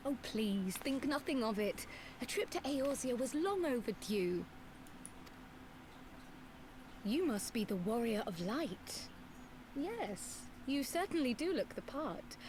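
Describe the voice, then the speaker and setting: A woman's voice: on the high side, very animated, a little slow, noisy background. A woman playing a small, teasing, self-assured fantasy scholar in a video game, with wry, precise and formal phrasing. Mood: bright, friendly.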